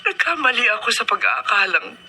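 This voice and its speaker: A woman playing a patient, middle-aged mother in a Filipino radio drama, speaking in an earnest, gently pleading way. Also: medium pitch, sing-song, a little fast, slight background noise, full character voice. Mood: sorrowful, reassuring. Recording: radio drama with music bed.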